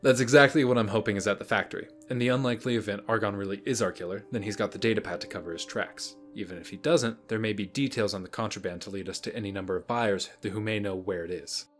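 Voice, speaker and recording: A man playing an earnest, analytical monk detective in an amateur sci-fi audio play, reasoning in a measured way. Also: lowish voice, natural voice. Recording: music under the voice.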